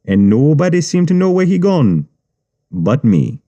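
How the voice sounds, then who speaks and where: A man's voice: big pitch swings, dark tone, lo-fi sound. A man voicing a cold Jamaican gang boss. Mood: menacing. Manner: low, slow, mocking menace in patois. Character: menacing Jamaican gang boss, calm and cruel. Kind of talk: audiobook character reading.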